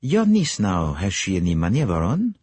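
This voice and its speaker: A man's voice: sits low, wildly swooping pitch, fairly quick, a little mellow, husky, no echo, full character voice. A man voicing a courteous, precise middle-aged foreign detective, asking formal, businesslike questions in an audiobook.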